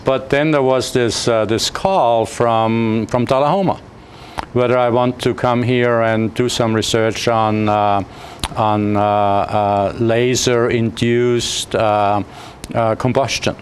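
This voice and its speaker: A man in his fifties with an Austrian accent, a physics professor answering interview questions haltingly, with many fillers and restarts. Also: medium pitch, steady tone, a little hiss or hum.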